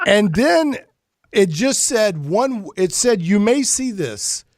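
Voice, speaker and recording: A man's voice: high voice, big pitch swings, takes it slowly, dry recording, studio quality. A man hosting a talk show, chatting casually in a rambling, joking, mock-serious way. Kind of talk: casual chatty radio banter.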